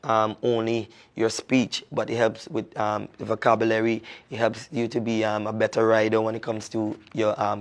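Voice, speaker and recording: A man speaking as a reading ambassador in an expressive children's storytelling style, deliberate, with dramatic pauses. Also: medium pitch.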